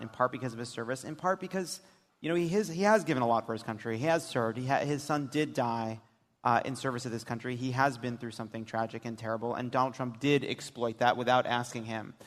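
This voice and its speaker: An American man hosting a live political panel show, talking to an audience. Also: medium pitch. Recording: echoey hall, live stage show.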